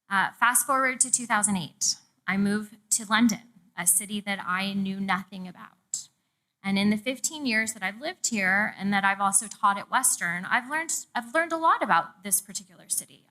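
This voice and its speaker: A woman's voice: mid-range voice, sharp tone, poor audio. A middle-aged woman from the American South gives a prepared public lecture as a professor, with personal asides. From Charleston, South Carolina, US. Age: 40s. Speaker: university professor, presenter. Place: public talk in a museum event room.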